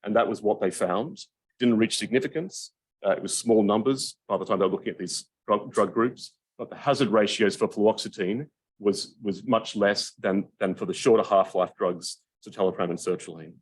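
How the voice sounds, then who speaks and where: Guy giving a lecture, lowish husky voice, talks fast. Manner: rapid, apologetic. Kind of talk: academic lecture.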